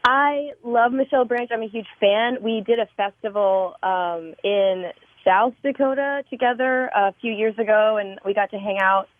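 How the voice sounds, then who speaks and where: A woman's voice: medium pitch, moderate pace, no room sound. Effusive woman over a tinny line. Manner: warm, effusive, emotional, laughs often. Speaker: singer-songwriter guest. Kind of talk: casual interview chat. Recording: tinny phone-like remote line.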